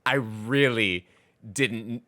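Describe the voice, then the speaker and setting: Guy cohosting a game podcast, bright punchy voice, casual n emphatic, super dry recording. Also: mid-range voice, drawn-out words, no room sound. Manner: informal, emphatic, frequent "like". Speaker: podcast co-host. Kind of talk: casual chat about video games.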